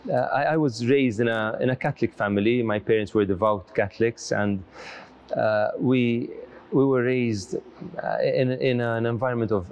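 A man's voice: fairly low pitch, a little mellow, lo-fi sound. A middle-aged man from Malta, a priest, answering interview questions in an earnest, reflective way with frequent restarts, seated in a large church. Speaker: priest and lecturer.

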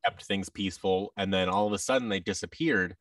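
Animated man, a little off-mic. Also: deep voice, fairly quick, no room sound, far from the mic. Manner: animated, acts out quoted exchanges. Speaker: podcast guest, audio drama creator. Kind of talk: casual interview chat. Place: indoor room, video call. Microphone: boom-arm microphone with headset.

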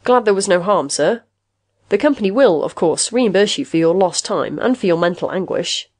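An earnest male investigator in an audiobook story, acted by a woman, courteous and persuasive with impatience underneath. The delivery is animated and insistent. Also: medium pitch, moderate pace, no echo, lightly acted. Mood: careful. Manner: polite, urgent, persuasive. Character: earnest, diplomatic male investigator, losing patience.

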